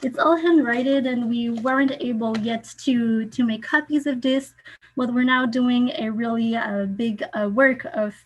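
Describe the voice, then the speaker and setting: A woman's voice: a little high, steady tone, unhurried, lo-fi sound, a little hiss or hum. Hesitant French-speaking woman on a video call. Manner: apologetic, hesitant, searches for words. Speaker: guest speaker. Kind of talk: online meeting chat.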